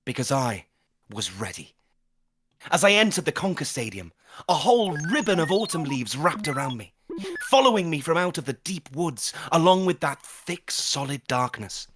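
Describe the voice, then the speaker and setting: Man acting self-important schoolboy narrator, audio drama, earnest menace, highly animated pitch, dry sound. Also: no echo. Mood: proud, intense. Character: grandiose, menacing schoolboy obsessed with conker glory. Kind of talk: dramatic first-person audio drama monologue.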